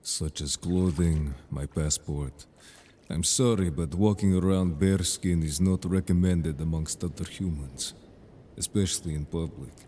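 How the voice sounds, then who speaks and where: Man acting as merfolk guard, bottomless low pitch, mellow dark tone, stern, formal, measured, protective, audio drama. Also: slight background noise. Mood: matter-of-fact, formal. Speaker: voice actor in an audio roleplay. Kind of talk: scripted audio drama roleplay.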